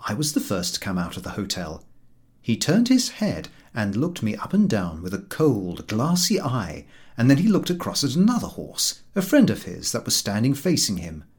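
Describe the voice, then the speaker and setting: A man narrating a humorous literary story from a script in an expressive storytelling manner. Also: medium pitch, normal speed, husky. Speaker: audiobook narrator.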